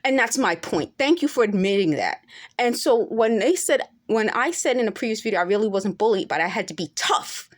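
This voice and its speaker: A woman's voice: mid-range voice, lively intonation, brisk. A woman in her forties talking straight to camera in an unscripted monologue, earnest and insistent, now and then rising to emphatic peaks. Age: 40s. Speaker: vlogger.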